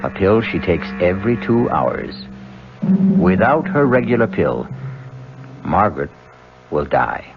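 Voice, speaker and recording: An actor playing a grave, ominous narrator of a 1970s radio mystery play. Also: male voice, sits low, big pitch swings, unhurried, vocal fry, long pauses, full character voice. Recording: dull old radio recording, music.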